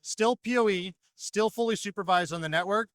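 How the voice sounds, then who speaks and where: A man's voice: sits high, brisk. A man giving a fluent, structured product explanation as a company representative in an interview. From North America. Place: trade show exhibition hall.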